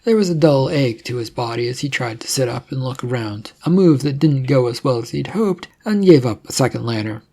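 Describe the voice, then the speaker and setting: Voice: medium pitch, expressive, a little fast, few pauses, warm tone, husky. A narrator reading scripted fiction aloud as a storyteller.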